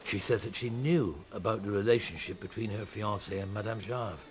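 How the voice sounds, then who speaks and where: A man's voice: lowish voice, fairly quick, music in the background, natural voice. An actor playing a pensive middle-aged police detective in a radio drama, musing and hesitant as he mutters his thoughts. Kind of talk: naturalistic radio drama acting.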